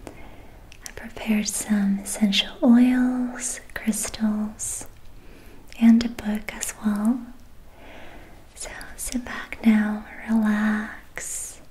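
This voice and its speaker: A young adult woman guiding a relaxation in a soft, breathy ASMR whisper, soothing, gentle and unhurried. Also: medium pitch, level tone, low-quality recording. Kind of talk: whispered ASMR guided meditation. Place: small dim quiet room indoors.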